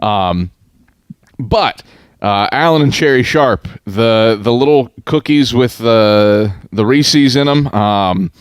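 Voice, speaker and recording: A man hosting a casual conversational podcast interview, thinking aloud in measured, halting phrases. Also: mid-range voice, smooth, dry recording, studio quality.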